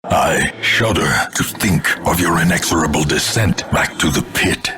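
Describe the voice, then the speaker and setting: Slow, grim announcer of doom. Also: male voice, rumbling low, music in the background. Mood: scornful, foreboding. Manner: grandiose, ornate, measured dramatic delivery. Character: grim ominous older male narrator of doom, contemptuous. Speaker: game announcer. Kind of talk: theatrical scripted game announcements, gothic narration.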